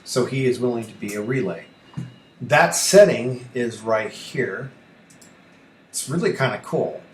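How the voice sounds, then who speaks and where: A man thinking aloud through a casual screen tutorial as a hobby radio host, halting and muttering to himself as he works. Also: medium pitch, animated. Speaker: amateur radio YouTuber, host. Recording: echoey room with faint background noise.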